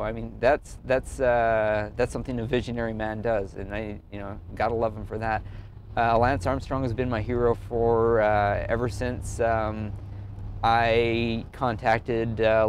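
Earnest man telling his story, outdoor noise. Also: mid-range voice. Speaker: amateur cyclist and blogger being interviewed. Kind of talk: casual personal storytelling to camera.

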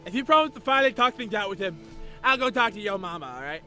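Very high, sharp teenage anime boy acted by a man. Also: animated, fast talker, faint music behind, heavily acted. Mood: coaxing. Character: distressed, slangy teenage boy hero student. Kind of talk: anime parody dub acting.

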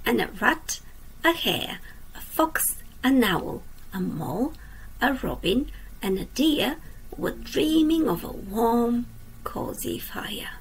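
Lady teacher doing storytime, slow and soft, husky little creaky voice, whispers the line ends. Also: mid-range voice, poor audio. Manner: asks the young listeners questions. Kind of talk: children's storytime read-aloud. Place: indoors at a computer.

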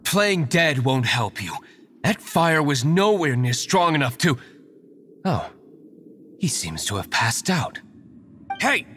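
A man's voice: fairly high pitch, very animated, slow, long pauses, a bit bright, a little hiss or hum, exaggerated voice. A man playing a stern young vigilante in a game, cool, formal and commanding. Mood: cold menace.

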